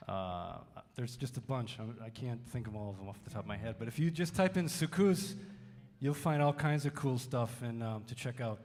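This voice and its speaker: A man's voice: mid-range voice, normal speed, darkish tone. A man from Wisconsin, a music teacher and drummer, talking casually with lots of fillers at a college Q&A. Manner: casual, many fillers, friendly. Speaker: music faculty, band drummer. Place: college music hall, reverberant. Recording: reverberant hall.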